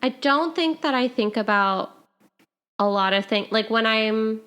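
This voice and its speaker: A woman's voice: fairly high pitch, expressive, measured pace, a bit bright, smooth. A young adult woman co-hosting a podcast, chatty and giggly, often laughing at herself. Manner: giggly, chatty, self-deprecating. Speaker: podcast co-host. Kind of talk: casual chat.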